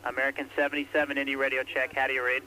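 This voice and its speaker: A man's voice: medium pitch, little pitch movement, rapid-fire, sharp tone, poor audio. A man working as an air traffic controller, calling aircraft in terse, clipped radio phrasing. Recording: archival radio recording with faint hiss.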